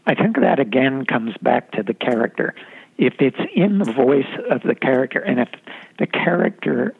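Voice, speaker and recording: A man, a novelist, talking reflectively about his writing in an interview, halting often with fillers and restarts. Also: medium pitch, lively intonation, creaky, breathy edge. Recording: tinny phone-line sound.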